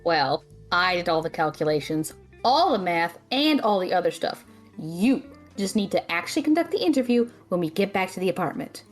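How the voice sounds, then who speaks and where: A woman playing a sharp-tongued, responsible female student mage in an audio drama, blunt and sardonic. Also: fairly low pitch, very animated, moderate pace, soft background music. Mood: matter-of-fact.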